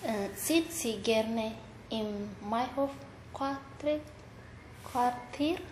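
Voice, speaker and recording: A woman asking interview questions in a formal, halting learner's way. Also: fairly high pitch. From Eritrea. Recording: echoey room, faint background noise.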